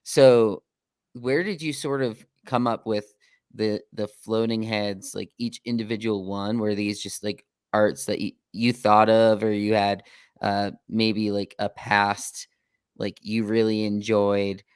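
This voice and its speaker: Podcast host guy, lowish smooth voice, chatty rambly questions, dry sound. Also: fairly even intonation, slow, no room sound. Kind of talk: casual interview chat.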